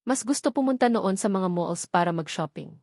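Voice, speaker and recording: A woman's voice: medium pitch, lively intonation, brisk, darkish tone, no echo, clean studio sound. A woman voicing a playful, devoted young man who is in love, in a romance audiobook. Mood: earnest, teasing.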